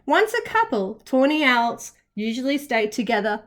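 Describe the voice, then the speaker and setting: A woman narrating a picture book for young children, with expressive, carefully phrased storytelling. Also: high voice, normal speed.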